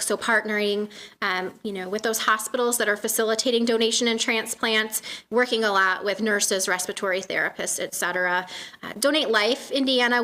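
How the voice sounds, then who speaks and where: A soft-spoken woman in her thirties giving a presentation to a community meeting in a room, with frequent "um" fillers. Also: medium pitch. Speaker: guest speaker from a donation nonprofit.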